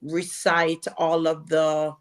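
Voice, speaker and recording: A Jamaican woman in her forties, a guest telling stories about her childhood in an unhurried way. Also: deep voice, monotone. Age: 40s. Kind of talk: conversational interview.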